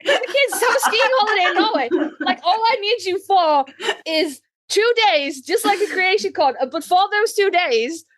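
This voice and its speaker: A woman's voice: very high voice, lively intonation, moderate pace, fairly bright tone, no room sound. A woman co-hosting a casual fan podcast in a blunt, salty, informal way. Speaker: podcast co-host.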